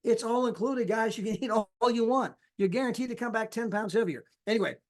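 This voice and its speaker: A man giving an energetic sales pitch on a live call, casual and folksy, acting out the people he quotes. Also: fast talker. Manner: animated, acts out quoted people with big pitch jumps. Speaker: sales presenter.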